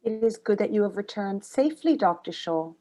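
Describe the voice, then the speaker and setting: A woman's voice: mid-range voice, animated, brisk, dark tone, close to an everyday voice. A woman playing a stern, stoical housekeeper in her sixties in a drama table read, speaking formally and solemnly.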